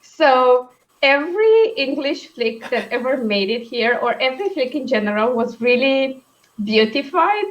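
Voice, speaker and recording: A young woman, co-hosting a casual chat, talking quickly with frequent laughs and asides. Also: high voice, big pitch swings, dull audio. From Romania. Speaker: co-host.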